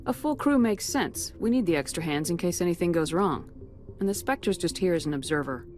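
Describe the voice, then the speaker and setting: A woman's voice: fairly low pitch, expressive, fairly quick, darkish tone, close to an everyday voice. A woman playing a firm, businesslike military commander in a science fiction video game. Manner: firm, authoritative.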